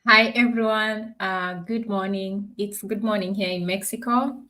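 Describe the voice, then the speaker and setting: A woman from Kenya on a webinar panel, speaking in a measured way with many pauses. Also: medium pitch, fairly even intonation, pushed and bright.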